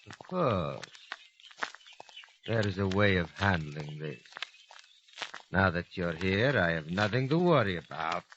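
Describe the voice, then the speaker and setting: A sneering smuggler boss. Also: male voice, sits low, lively intonation, a little slow, pauses often. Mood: menacing. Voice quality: sneering, contemptuous. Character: cruel scheming male smuggler boss. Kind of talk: 1950s radio drama acting. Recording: hissy lo-fi old radio recording.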